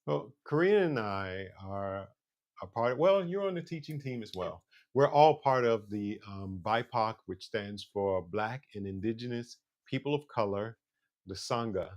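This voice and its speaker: Upbeat low-voiced man host. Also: lively intonation, unhurried, dull audio. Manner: upbeat, affirming, frequent "mm-hmm". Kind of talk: casual show hosting.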